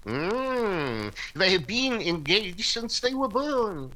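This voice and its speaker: A man's voice: high-pitched, big pitch swings, slow pace, pushed and bright, exaggerated voice, poor audio. A man playing a pompous, fussy clergyman suitor who speaks formally in a period radio comedy. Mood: pompous, earnest.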